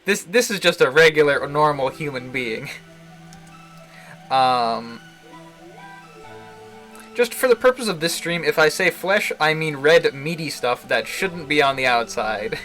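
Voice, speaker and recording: A man giving casual, halting live commentary on a visual novel, chuckling to himself as he rambles. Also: fairly high pitch, expressive, bright, forceful tone, low-quality recording. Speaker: game streamer, host. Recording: game music behind the voice. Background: video game music.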